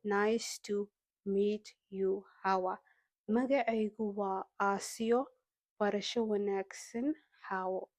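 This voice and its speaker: A woman teacher with a Somali accent, speaking very slowly and deliberately as she drills everyday phrases. Her voice sits at a middle pitch and sounds dry and close, with no room echo. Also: fairly even intonation, a little mellow. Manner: slow, deliberate drill repetition, switching to Somali explanations. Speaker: English teacher. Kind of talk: slow language lesson, repeating phrases.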